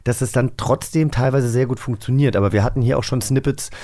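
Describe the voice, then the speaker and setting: A man's voice: mid-range voice, a little fast, warm tone. A man hosting a casual interview. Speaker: host.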